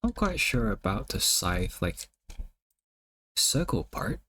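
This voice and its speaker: Male streamer, slightly low-pitched, relaxed and hesitant, restarts phrases while critiquing art. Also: slightly rough. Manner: hesitant, halting, repeats words while thinking. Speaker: artist streamer giving art feedback. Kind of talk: casual live-stream chat.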